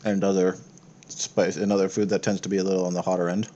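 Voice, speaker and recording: A man's voice: low voice, little pitch movement, fast talker, dark tone, lo-fi sound. A man working as game master, narrating a tabletop game in a casual, improvised way.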